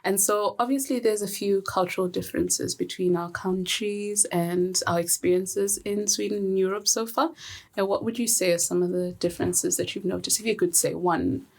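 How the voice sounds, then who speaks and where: A woman's voice: mid-range voice, steady tone, normal speed, vocal fry. Zambian-born woman hosting a podcast. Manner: friendly, laughs often. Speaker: podcast host. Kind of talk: casual podcast conversation.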